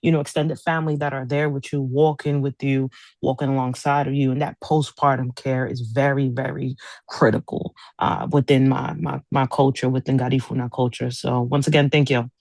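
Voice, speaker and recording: A woman from Honduras speaking earnestly on an online panel, with halting restarts. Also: rumbling low, level tone, vocal fry, darkish tone, no room sound.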